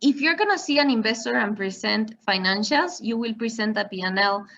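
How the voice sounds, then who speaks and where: A woman's voice: on the high side, animated, a little fast, fairly bright tone, dull audio. A woman giving an online masterclass on finance, explaining step by step with examples. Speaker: finance trainer. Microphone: video call.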